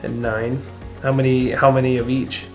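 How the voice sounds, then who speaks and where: A man's voice: mid-range voice, level tone, moderate pace, a little mellow, faint music behind, rough recording. A man interviewing his grandparents, hesitant and full of fillers, asking short questions. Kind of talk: casual family interview.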